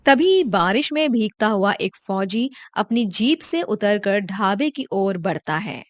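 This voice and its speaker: A woman narrating a cartoon story in a calm, even storytelling voice. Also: medium pitch, takes it slowly, dry recording.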